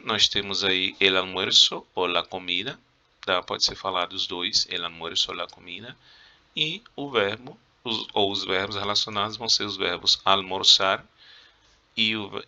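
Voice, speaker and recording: A man's voice: low-pitched, bright, forceful tone, lo-fi sound. A man with a Brazilian Portuguese accent, a Spanish teacher giving a casual, joking review lesson to his students and coughing now and then.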